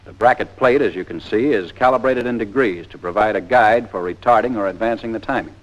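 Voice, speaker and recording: A man with a fairly low voice and an American accent delivers scripted instructional narration. He is matter-of-fact and methodical, in the manner of a 1940s military training film narrator, heard on a period film soundtrack. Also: brisk, low-quality recording.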